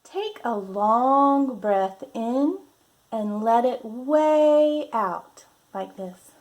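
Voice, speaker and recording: A woman reading a picture book aloud to young children, with deliberate, gently instructive delivery and guided breathing prompts. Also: on the high side, animated. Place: at home.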